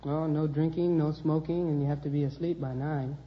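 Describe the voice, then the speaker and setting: An eighteen-year-old American man, a high school contestant giving short, casual interview answers. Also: steady tone, a little fast, a crowd in the background. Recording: muffled, noisy vintage radio recording.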